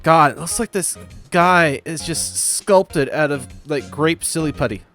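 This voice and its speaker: A man's voice: on the high side, a little slow, fairly bright tone, soft background music. A man hosting a let's play with casual, playful, wisecracking commentary. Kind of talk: casual joking game commentary.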